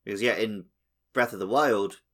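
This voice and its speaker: A man's voice: on the low side, normal speed, a bit bright. A man co-hosting a podcast, chatting casually about a video game. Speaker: podcast co-host.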